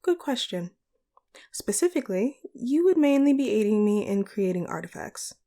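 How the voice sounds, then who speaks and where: A woman playing a polite, composed supervillain boss in a scripted audio roleplay, speaking to the listener in formal, measured phrases. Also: medium pitch, big pitch swings, warm tone. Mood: patient, explanatory. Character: polite, charismatic female supervillain sorceress boss, caring and composed.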